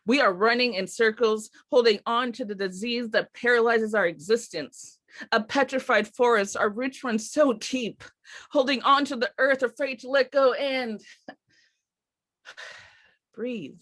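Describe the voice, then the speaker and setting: A woman's voice: a little high, measured pace, a bit bright. A woman speaking as a panelist at a community roundtable, reciting and commenting. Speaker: roundtable panelist reading own poem. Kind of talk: poem recitation and panel remarks.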